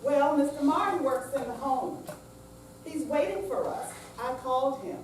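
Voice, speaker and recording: A woman's voice: high-pitched, expressive, normal speed. Coaxing, exasperated grown daughter, acted by a woman in a stage reading; very echoey, recorded at a distance. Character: adult daughter, insistent, coaxing, caring toward ailing mother. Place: senior center room, live staged reading. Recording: very echoey hall, distant mic, noisy.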